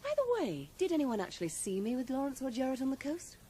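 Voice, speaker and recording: A woman's voice: sits high, wildly swooping pitch, quick pace, dark tone, a little hiss or hum. An actress playing a cool, guarded woman in a radio drama, composed, formal and matter-of-fact. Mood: cool, businesslike.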